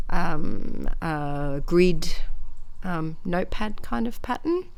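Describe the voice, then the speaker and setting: Chatty Australian woman, slightly croaky. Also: lowish voice. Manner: chatty, rambling, self-deprecating. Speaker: scrapbooking vlogger. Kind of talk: casual crafting voiceover.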